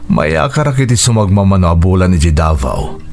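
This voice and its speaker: Radio drama, Ilocano accent: devoted adult son and widowed dad, very low register, sincere, music underneath. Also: male voice, big pitch swings, brisk, a little mellow. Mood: tender, serious.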